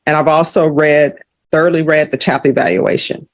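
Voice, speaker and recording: A woman's voice: low voice, fairly even intonation, normal speed, no echo. A woman presiding as a judge, giving formal courtroom rulings and procedural instructions. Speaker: judge presiding over hearing.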